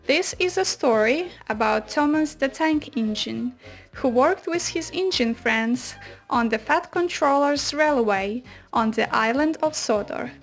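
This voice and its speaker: A woman's voice: high-pitched, animated, unhurried, soft background music. A woman narrating a children's story from a script, as if reading a picture book aloud.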